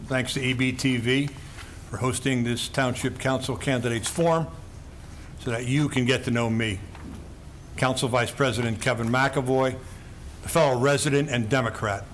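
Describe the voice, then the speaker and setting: A man's voice: mid-range voice, fairly even intonation, moderate pace, a little hiss or hum. An older man from New giving a formal, emphatic opening statement as a council candidate. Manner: formal, emphatic, list-like delivery.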